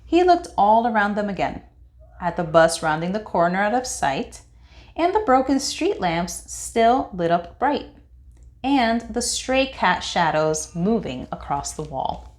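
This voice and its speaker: A woman reading a children's picture book aloud as expressive narration. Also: medium pitch, a little slow. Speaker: children's read-aloud host.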